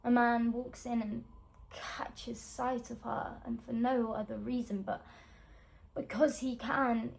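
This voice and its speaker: Casting: woman to play young female actress, weary and wounded but striving, naturalistic drama monologue. Higher register with some fry, halting delivery full of pauses. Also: little pitch movement, a little mellow, low-quality recording. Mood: haunted, distracted. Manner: halting, broken phrases with long pauses. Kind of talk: naturalistic screen-acting stage monologue.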